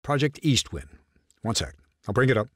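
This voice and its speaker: Composed military commander, expressive and dry-recorded. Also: male voice, mid-range voice, normal speed, dry recording. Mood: brisk, focused. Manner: clipped, businesslike, authoritative. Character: composed, brisk military intelligence commander running covert operations. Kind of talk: video game cutscene dialogue, mission briefing.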